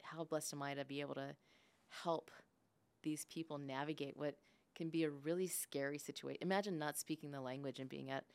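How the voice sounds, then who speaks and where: A woman's voice: low-pitched, fairly even intonation, a little fast, clear, resonant tone. A woman who grew up speaking English and Spanish, talking casually and reflectively as a guest, often tagging thoughts with "like" and "right?". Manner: frequent "like" and "right?" tags. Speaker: podcast guest, business leader.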